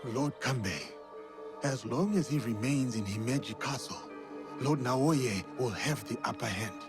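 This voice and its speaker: A man's voice: mid-range voice, a little slow, lightly acted. A man playing a loyal, cautious retainer to a feudal Japanese lord in a video game, formal and earnest. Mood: sober, concerned. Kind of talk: cinematic video game acting. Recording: clear music behind the voice. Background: clear background music.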